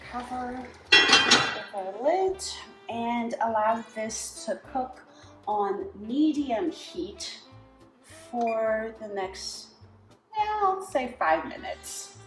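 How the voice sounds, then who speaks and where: A woman hosting a home cooking show, chatty and relaxed as she explains each step in her kitchen. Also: fairly high pitch, drawn-out words. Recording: echoey kitchen with background music.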